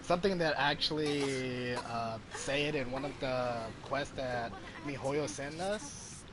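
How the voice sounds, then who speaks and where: A man's voice: medium pitch, slow pace, noisy background. A man streaming a game, chatting casually in a rambling, hesitant way. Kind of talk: casual livestream chat over gameplay. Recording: game music under the voice. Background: video game music.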